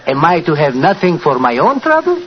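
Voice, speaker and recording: Wheedling scoundrel, animated. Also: male voice, on the high side, brisk. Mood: greedy haggling. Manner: sly, haggling, ingratiating. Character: wheedling, greedy North African cafe proprietor and underworld informant. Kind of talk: 1940s radio acting. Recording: dull old radio broadcast.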